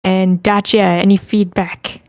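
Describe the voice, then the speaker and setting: A woman's voice: medium pitch, flat delivery, a little slow, darkish tone, smooth, dry recording, studio quality. A woman hosting an online feedback session, informally moderating and reading chat aloud with muttered asides. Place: online group meeting.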